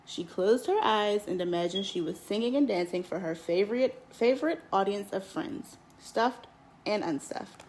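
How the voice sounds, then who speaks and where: A woman teacher reading a picture book aloud in an expressive, lilting storytelling manner. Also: mid-range voice, moderate pace, slight background noise, low-quality recording. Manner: expressive, lilting storytelling with lifts on key words.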